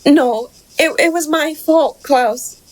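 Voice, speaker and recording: A woman's voice: sits high, slow. A woman playing a timid, apologetic survivor in an audio drama, pleading and faltering.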